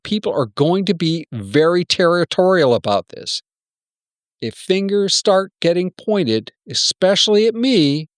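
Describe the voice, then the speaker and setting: Give the part of a guarded software executive to a man acting it out. He is blunt and persuasive, always cautioning, with pitch that moves around a lot. The radio-play dialogue is recorded close and dry. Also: no room sound. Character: wary, self-protective male senior software executive.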